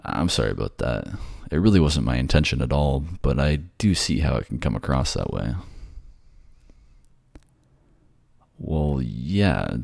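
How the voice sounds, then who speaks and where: A man's voice: a bass voice, sing-song, normal speed, vocal fry, darkish tone, no room sound, studio quality, exaggerated voice. A man playing a bored, sardonic ghost who haunts a library, snarky and conversational in an ASMR audio roleplay. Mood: apologetic. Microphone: close, dry microphone.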